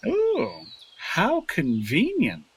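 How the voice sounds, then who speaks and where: A man's voice: high voice, wildly swooping pitch, very slow, creaky, a little hiss or hum, exaggerated voice. A man acting in an audio drama as a sly accuser who mocks and insinuates with a sneer. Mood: sarcastic. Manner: mocking, insinuating.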